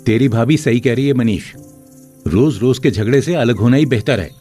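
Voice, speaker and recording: A man plays a family elder in a cartoon moral story. His voice is husky and dark. Faint music sits behind the dry recording. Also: mid-range voice, normal speed, lightly acted. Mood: resigned.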